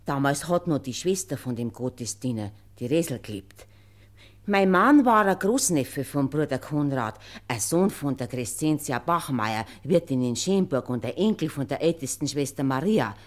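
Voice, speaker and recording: A devout Bavarian farm woman with a low voice and swinging intonation. She gives her recollections respectfully, as testimony in a radio play.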